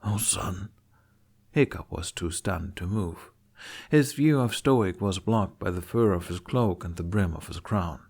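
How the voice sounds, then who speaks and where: A man reading scripted fantasy fiction aloud as an expressive storyteller. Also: deep voice, measured pace, gravelly. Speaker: podfic narrator.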